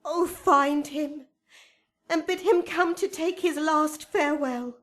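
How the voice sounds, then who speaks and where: An actress playing Juliet as a passionate young bride in a Shakespearean radio drama, speaking verse dramatically. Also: female voice, high voice. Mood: anguished. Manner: slow, dramatic verse delivery.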